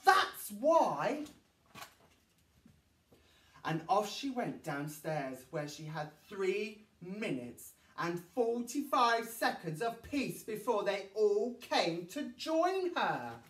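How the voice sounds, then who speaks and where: A man's voice: high-pitched, big pitch swings, slow, few pauses. A man in his thirties reading a storybook to children in an exaggerated, sing-song way. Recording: roomy echo, distant microphone.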